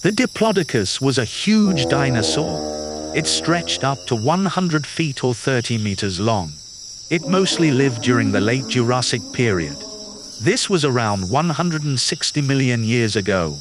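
A man reading a children's nonfiction picture book aloud in a clear, patient, teacherly way. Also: mid-range voice, sing-song, measured pace, crowd noise. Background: background music.

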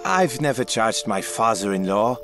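A man's voice: fairly low pitch, sing-song, over music. A man playing a proud, stubborn working husband in an audio drama, speaking firmly and earnestly. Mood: troubled. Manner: earnest, firm, measured. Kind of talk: full-cast audio drama acting.

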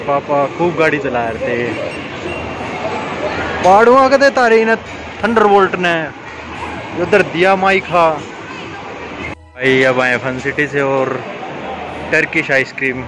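Haryanvi guy in his 20s, playful wisecracking vlogger, bit high-pitched, recorded on a phone over heavy mall noise. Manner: chatty, teasing, wisecracking. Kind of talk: casual joking vlog commentary. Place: large indoor shopping mall.

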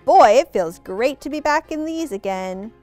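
A woman voicing a sensitive young schoolgirl in a children's storybook, with expressive delivery. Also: high-pitched, a little slow, faint music behind, voice pushed up. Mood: cheerful, confident. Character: young schoolgirl, sensitive, growing cheerful and confident.

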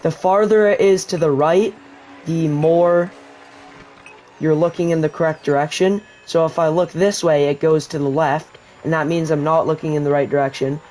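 A man's voice: fairly high pitch, normal speed, faint music behind. A man giving a casual, rambling voice-over tutorial for a survival video game, explaining as he plays. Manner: relaxed, rambling explanation with restarts. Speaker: gaming YouTuber.

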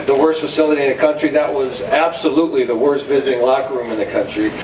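A man's voice: mid-range voice, steady tone. A middle-aged man, a football coach, speaking off the cuff with restarts and fillers. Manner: halting, repeats words, many fillers. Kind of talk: off-the-cuff press conference remarks. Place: conference room at a stadium. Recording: echoey room from far off, noisy.

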